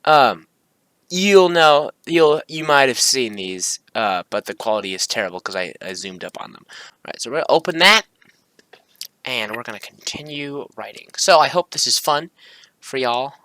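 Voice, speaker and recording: Young male video creator, forceful bright tone, dry close sound, casual rambling delivery with self-corrections. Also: medium pitch, expressive, moderate pace, dry recording. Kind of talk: casual screen-recording commentary, reading script aloud.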